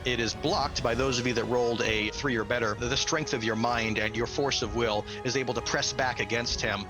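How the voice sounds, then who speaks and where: A man with a mid-pitched voice runs a tabletop game. He narrates dramatically and encourages the players, with music playing clearly behind him. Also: fairly even intonation, brisk, poor audio. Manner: theatrical, encouraging. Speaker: game master.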